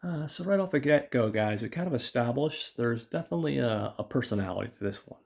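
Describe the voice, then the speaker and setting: A man hosting a podcast whose voice is raspy from illness, chatting loosely through a film review with many ums and uhs and talking to his listeners as guys. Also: mid-range voice, expressive, fast talker, a little mellow. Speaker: podcast host.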